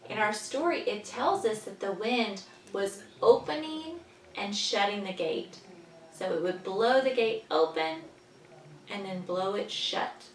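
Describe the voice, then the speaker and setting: A woman teaching young children, patiently explaining in simple words and pausing after questions, as in a story lesson. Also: medium pitch. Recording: echoey room, distant mic, faint noise.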